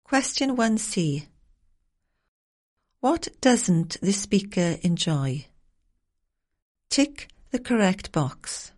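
A woman reading formal, clearly enunciated instructions as the narrator of a language exam recording. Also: medium pitch, big pitch swings, drawn-out words, long pauses, darkish tone.

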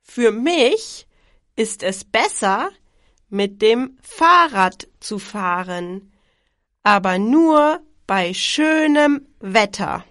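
A woman in her twenties from Germany speaking slow, carefully enunciated scripted lines for a language exam. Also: a little high, expressive, a bit bright, no echo, clean studio sound.

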